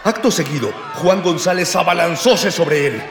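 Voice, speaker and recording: A man's voice: a little high, animated, normal speed, creaky, music in the background. A man with a Mexican accent playing a pompous, grandiloquent narrator of a mock-heroic radio adventure serial. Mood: urgent, dramatic. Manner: pompous, grandiloquent, archaic phrasing. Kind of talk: florid old-fashioned radio serial narration. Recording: roomy reverberant sound with crowd behind.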